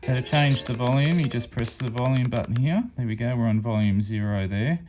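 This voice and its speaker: A man's voice: mid-range voice, fairly quick, a little mellow, a little hiss or hum. A man casually demonstrating a gadget hands-on, explaining it step by step in a matter-of-fact way. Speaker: amateur radio reviewer and channel host.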